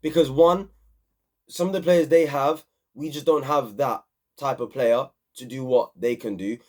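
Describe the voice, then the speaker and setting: A young man hosting an excited, slangy football match review, a bit hoarse from screaming. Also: mid-range voice, measured pace. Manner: animated, repetitive, slangy. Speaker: football channel host.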